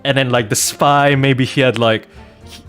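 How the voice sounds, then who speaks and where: A man with an average-pitched voice talks casually as a game streamer. He spins anecdotes in an animated, jokey manner, sliding into mock-innocent protest. Soft music plays behind him. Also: unhurried. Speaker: streamer playing a video game and host of the channel.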